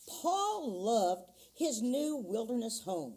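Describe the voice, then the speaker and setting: A woman reading a children's picture book aloud at a church story time, in a slow, expressive storytelling manner. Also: fairly high pitch, breathy edge.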